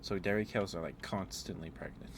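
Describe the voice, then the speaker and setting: A man streaming a casual, rambling ASMR chat, speaking in a halting, musing way as if thinking aloud. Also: low voice, steady tone, background noise. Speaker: livestreamer.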